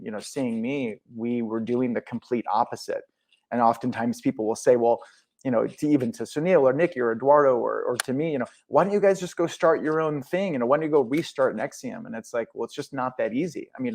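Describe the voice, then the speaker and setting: A man's voice: medium pitch, a little mellow. Earnest man speaking as a guest. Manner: earnest, with frequent fillers and restarts. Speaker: podcast guest. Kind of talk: conversational interview.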